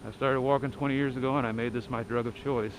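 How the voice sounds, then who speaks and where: A middle-aged man from Texas with a lightly softened Texas accent, talking bluntly and wryly as he walks a residential street at night. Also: mid-range voice, fairly even intonation, fast talker, poor audio. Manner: blunt, wry, talks while walking. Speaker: walking vlogger. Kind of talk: rambling night-walk monologue. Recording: street noise and passing cars.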